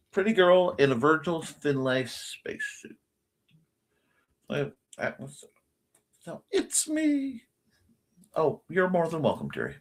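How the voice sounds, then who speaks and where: Slow-paced man with a lively, swinging pitch. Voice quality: speech impediment. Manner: muttering to himself, thinking aloud in fragments. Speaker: livestream host and artist. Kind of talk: casual livestream chat while drawing.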